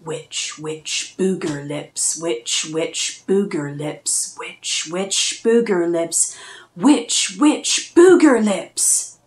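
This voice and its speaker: A woman's voice: very slow, reverberant. A woman putting on a creepy whispering booger witch. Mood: creepy chant. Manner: low chanting whisper. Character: slimy green female booger witch, creepy.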